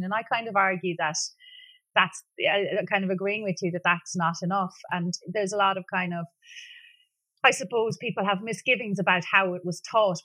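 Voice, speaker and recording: A woman's voice: mid-range voice, bright, forceful tone, dry recording. A young Irish woman with a Kerry accent, a singer talking thoughtfully in a casual interview, full of fillers and restarts. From Ireland. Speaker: singer and guest.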